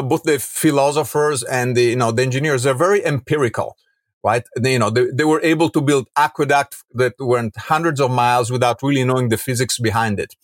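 The guest on a business podcast, an Italian man making emphatic points in a snug, echo-free studio. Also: mid-range voice. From Rome, Italy. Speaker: podcast guest, entrepreneur and author. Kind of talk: conversational interview.

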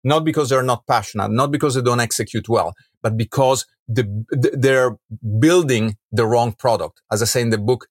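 A man's voice: medium pitch, normal speed, few pauses, no room sound, clean studio sound. A man with an Italian accent speaking conversationally as an interview guest, emphatic and often checking for agreement. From Rome, Italy. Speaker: podcast guest, entrepreneur and author.

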